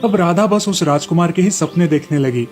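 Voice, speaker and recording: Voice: medium pitch, moderate pace, darkish tone, faint music behind. A narrator reading a scripted children's story in a storybook manner.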